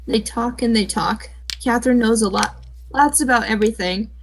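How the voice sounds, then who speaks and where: A woman's voice: on the high side, normal speed, slight background noise, rough recording. A young woman casually reading a fan comic aloud with friends, breaking off to joke and chat. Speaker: comic dub reader.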